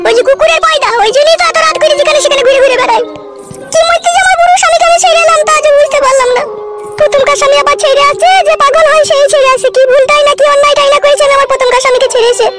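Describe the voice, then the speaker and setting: A woman's voice: extremely high pitch, steady tone, racing, loud music behind the voice. A woman voicing a furious young wife in a cartoon, nagging and cursing at her husband. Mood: bitter. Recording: loud hissy noise behind.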